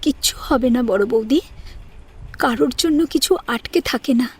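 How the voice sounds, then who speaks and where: A woman playing a gentle, self-effacing young female student in an audio story, soft-spoken and dignified though hurt. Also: sits high, moderate pace, breathy edge. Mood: quietly resigned. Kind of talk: Bengali audio story acting.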